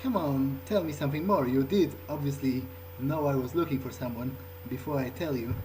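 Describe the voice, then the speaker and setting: A man's voice: mid-range voice, fairly quick, mellow, slight background noise, lightly acted, poor audio. A man role-playing a newly turned, puzzled vampire during a game playthrough, talking in a casual, questioning, hesitant way. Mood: puzzled, insistent. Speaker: game player role-playing his character. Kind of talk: improvised in-character gameplay talk.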